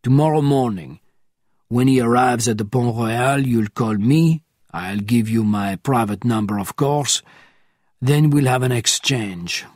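A man reading an audiobook, voicing a huge, menacing informant who speaks in a measured, formal way. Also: mid-range voice, darkish tone, no echo, clean studio sound, natural voice.

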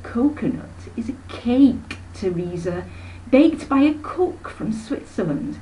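Woman acting a gushing snobbish aunt, high-pitched. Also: expressive, slow, mellow, recorded from a distance, slight background noise. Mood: haughty, affronted. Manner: gushing, pompous, talks in long runs. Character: breezy snobbish middle-aged aunt, flustered, self-important chatterer.

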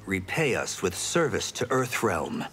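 Young game champion, guarded, terse, somewhat low pitch, varied intonation. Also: male voice, sing-song, measured pace, fairly bright tone, heavily acted. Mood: guarded, serious. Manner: terse, serious. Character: guarded, earnest young male champion fighter. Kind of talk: fighting game character dialogue. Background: game music.

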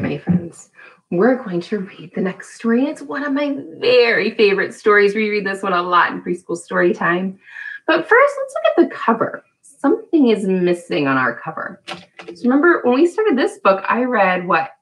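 A woman's voice: mid-range voice, very animated, moderate pace, low-quality recording. A woman in her thirties leading a children's storytime, speaking encouragingly and asking simple questions as a preschool teacher. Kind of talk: children's storytime lesson with songs. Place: small room at home.

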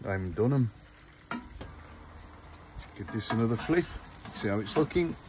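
A man's voice: fairly low pitch, animated, normal speed, background noise. An older man hosting a casual outdoor cooking vlog, chatting to viewers as he cooks at a garden grill. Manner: chatty, talks to viewers while cooking, says "look" often.

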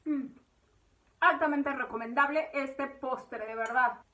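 A woman's voice: high voice, slow pace, bright, forceful tone. A woman, hosting a home cooking tutorial in her kitchen and chatting viewers through each step. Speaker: cooking channel host.

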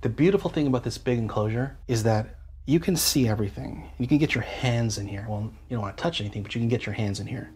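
A man's voice: medium pitch, quick pace, a little mellow. A man giving a casual, hands-on workshop walkthrough in an enthusiastic, geeky, colloquial way. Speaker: DIY amp builder and host.

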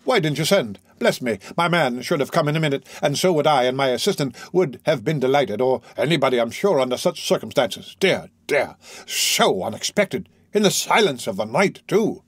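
A man voicing a jolly, eccentric, stout old bachelor doctor who blusters and fusses excitedly. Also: medium pitch, moderate pace, breathy edge, no echo, studio quality. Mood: flustered.

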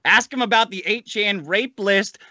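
A man's voice: high-pitched, moderate pace, pushed and bright, no room sound. A man ranting casually as a podcast guest, profane and sardonic, over a remote call.

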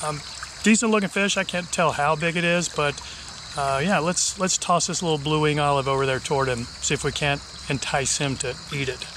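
A middle-aged man narrating a fly tying tutorial in a relaxed, chatty way, thinking aloud as he works. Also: on the high side, moderate pace, a little hiss or hum. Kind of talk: casual instructional narration. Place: outdoors on a wide river.

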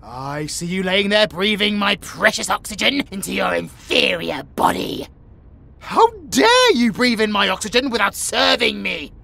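High-pitched, pompous British tiger-boy cat. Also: big pitch swings, unhurried, vocal fry, sharp tone, full character voice. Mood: indignant. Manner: grandiose, theatrical, meowing. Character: pompous, melodramatic tsundere tiger-boy cat, self-styled mighty. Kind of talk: comedic audio roleplay acting.

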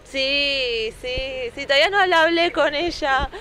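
A young woman in her twenties with an Argentine accent, speaking shyly and softly with many fillers in a street news interview. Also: high-pitched, a little slow. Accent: Argentine Spanish. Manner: shy, soft, with many fillers. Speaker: new mother interviewed. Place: city sidewalk outdoors. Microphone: handheld reporter microphone. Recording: faint street noise behind.

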